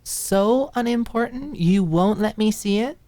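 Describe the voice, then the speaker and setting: A woman's voice: mid-range voice, unhurried, warm tone, pitched above the performer's own voice, close to an everyday voice. A woman voicing a bossy, knowing young woman in an audiobook drama, with a firm, teasing, no-nonsense manner. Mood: suspicious, insistent.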